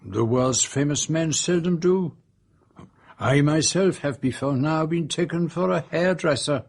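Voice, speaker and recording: An actor playing a famous foreign detective in a radio drama, with a French accent, precise, courteous, self-assured and dryly witty. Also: male voice, fairly high pitch, a little slow, vocal fry, no echo. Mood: dryly amused. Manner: precise, courteous, sprinkles speech with French phrases. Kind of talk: radio drama dialogue and narration.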